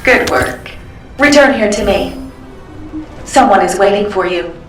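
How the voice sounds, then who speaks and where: A woman's voice: mid-range voice, expressive, unhurried, a bit bright, soft background music. A woman playing a serene, enigmatic ancient guardian in a video game, speaking in a stately, instructive way. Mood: guiding. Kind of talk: video game dialogue acting. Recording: distant, echoing game audio.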